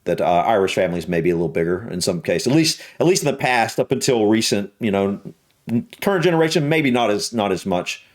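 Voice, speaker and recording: A man's voice: on the low side, big pitch swings, fast talker. A man with a Southern US accent hosting a reaction video, chatting casually and hesitantly, often restarting and repeating his words. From United States.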